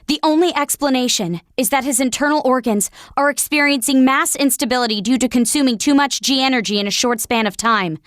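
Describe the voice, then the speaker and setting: A woman's voice: high voice, brisk, few pauses, a bit bright, dry recording, studio quality. A woman playing an earnest, dutiful military operator in a video game, speaking formally. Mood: alarmed. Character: earnest female military operator briefing her commander. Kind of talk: scripted video game voice acting.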